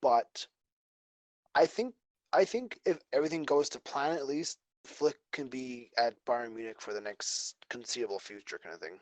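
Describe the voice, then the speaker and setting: A man's voice: medium pitch, moderate pace, dry recording. A man co-hosting a casual football podcast, giving opinions in a rambling way with frequent "I mean". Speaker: co-host.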